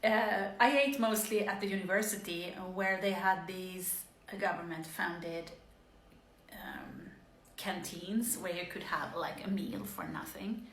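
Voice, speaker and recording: A woman's voice: lowish voice, fairly even intonation, a little slow, fairly bright tone, roomy sound, poor audio. A woman in her forties from Finland, talking casually to the camera in a rambling monologue with many fillers and restarts and wry asides. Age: 40s.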